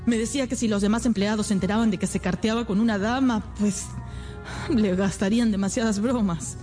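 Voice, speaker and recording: A woman's voice: medium pitch, steady tone, a little fast, mellow, faint music behind, lightly acted. An actress playing an anxious, naive and devoted young woman in a radio drama, speaking earnestly with hesitant repetitions. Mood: confiding. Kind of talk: Spanish-language radio drama acting.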